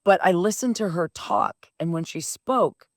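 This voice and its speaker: A woman's voice: fairly low pitch, a little slow, no echo, clean studio sound. A woman, hosting a podcast and telling a personal story in a blunt, emphatic, swearing-laced casual style. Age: 50s. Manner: blunt, profane, animated and emphatic. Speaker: podcast host.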